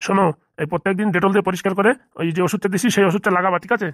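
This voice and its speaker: A man's voice: high voice, fairly even intonation, rapid-fire, a bit bright, no room sound, clean studio sound. A man voicing a friendly, slightly bossy male cartoon doctor who talks informally and familiarly, with a sentimental streak. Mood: bossy, reassuring. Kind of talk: comedy cartoon dubbing in colloquial dialect.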